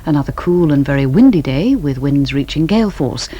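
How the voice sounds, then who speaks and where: A woman's voice: low voice, lively intonation, fairly quick, dark tone, lo-fi sound. A British woman reading scripted late-night television continuity as the announcer, on an old VHS recording of a 1980s broadcast.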